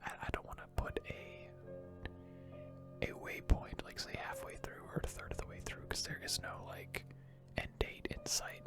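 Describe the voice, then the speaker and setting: A person giving rambling, hesitant gaming commentary in a breathy, unvoiced ASMR whisper close to the microphone. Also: very high voice. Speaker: gaming video host.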